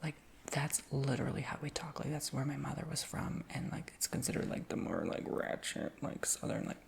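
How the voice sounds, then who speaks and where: A woman whispering casually in a show-and-tell video, rambling with lots of fillers and slowly reading out labels. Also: a bass voice, lively intonation. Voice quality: soft whisper with creaky edges. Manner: reads names and titles slowly.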